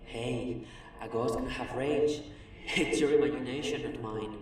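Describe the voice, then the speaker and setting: A man voicing a kind, gently teasing male ghost who speaks softly. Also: mid-range voice, measured pace, very echoey, recorded from a distance, a little hiss or hum, close to an everyday voice, rough recording. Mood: gentle.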